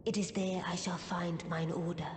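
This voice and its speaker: A woman playing a composed, regal witch in a fantasy game, speaking softly and formally in archaic English. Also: lowish voice, level tone, moderate pace, natural voice. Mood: serene, solemn. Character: regal, composed otherworldly witch, female, quietly proud. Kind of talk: theatrical fantasy game acting. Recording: echoing long reverb, faint ambient noise.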